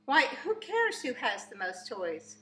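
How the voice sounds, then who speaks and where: Woman reading as a scolding storybook mayor, bright-toned. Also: on the high side, wildly swooping pitch, measured pace, voice pushed up, full character voice. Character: exasperated male village mayor scolding silly rivals. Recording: echoey room, faint background noise.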